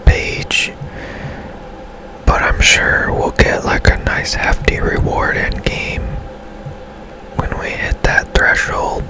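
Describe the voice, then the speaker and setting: A man hosts gaming ASMR entirely in a breathy, unvoiced whisper. He takes his time, leaving his words slow and spaced out. He sounds hushed and unhurried, as if thinking aloud while he plays. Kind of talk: whispered ASMR game commentary.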